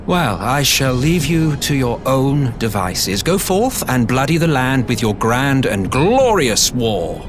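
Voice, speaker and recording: An actor voicing an ancient, smug, mocking schemer and former emperor in a video game, with a theatrical, drawn-out and sardonic delivery. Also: male voice, mid-range voice, sing-song. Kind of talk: video game voice acting, grandiloquent villain dialogue. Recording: music behind the voice. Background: game music.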